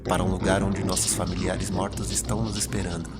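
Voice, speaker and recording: Horror audio story role: sinister mother ghost, calm, coaxing, insistent, played in a male narrator's low voice, music behind. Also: fast, lightly acted. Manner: calm, tender but incisive.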